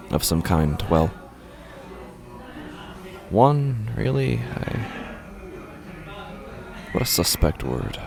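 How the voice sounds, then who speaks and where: An actor playing an anxious, cynical, philosophizing man in a horror audio drama, with a brooding, halting delivery full of questions and pauses. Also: deep voice, gravelly, full character voice. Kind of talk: first-person dramatic monologue, horror audio fiction.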